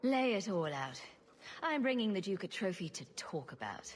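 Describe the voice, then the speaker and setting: An actress playing a witty, sarcastic rogue adventurer in a fantasy game, with a dry, wry delivery. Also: female voice, medium pitch, sing-song, normal speed, a little hiss or hum, lightly acted.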